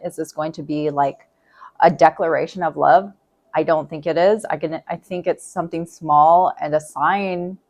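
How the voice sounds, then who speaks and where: A woman reading tarot in a casual, chatty way, thinking aloud and repeating herself, her voice going hoarse. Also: deep voice, darkish tone. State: losing her voice. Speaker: tarot reader.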